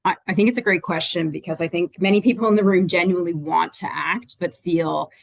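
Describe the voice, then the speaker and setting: A woman's voice: on the low side, a little fast, vocal fry, no room sound. A woman who co-wrote a report, answering a moderator's question on an online panel in an earnest, explanatory way with frequent restarts.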